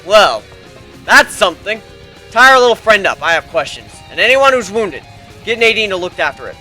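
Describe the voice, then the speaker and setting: A man's voice: sits high, very animated, moderate pace, sharp tone, soft background music, voice pushed up, heavily acted, low-quality recording. A man voicing a cocky, menacing male griffin warrior, taunting and sarcastic, in a dramatic fantasy story reading. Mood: commanding.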